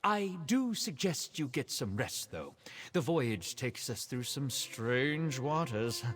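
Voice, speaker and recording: Slow, coy, secretive seafarer. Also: male voice, mid-range voice, big pitch swings, fairly bright tone. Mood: sly, soothing. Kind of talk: scripted video game dialogue.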